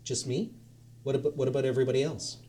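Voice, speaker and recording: A man's voice: medium pitch, little pitch movement, fast, dark tone, lightly acted. A man reading the lines of a confused, newly dead man in his late forties, asking hesitant questions. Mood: bewildered.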